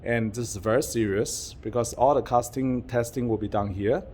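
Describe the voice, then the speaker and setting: A man's voice: medium pitch, fairly even intonation, moderate pace, darkish tone, slight background noise. A man vlogging to camera in a public spot, chatty and playfully mock-secretive, with plenty of fillers and restarts. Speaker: YouTuber vlogger. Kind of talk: casual vlog to camera. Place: public venue with outside view.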